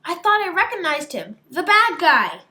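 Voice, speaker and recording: A woman's voice: very high voice, very animated, moderate pace, sharp tone, a little hiss or hum, voice pushed up, full character voice. A woman reading the part of a loyal, bossy little male toothbrush, a chirpy storybook sidekick who likes to correct. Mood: excited, indignant.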